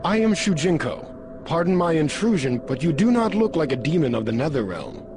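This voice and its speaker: A man's voice: on the high side, sing-song, moderate pace, noisy background. A man voicing an earnest, polite heroic warrior on a quest in a video game cutscene. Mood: courteous, resolute. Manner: formal, courteous, earnest.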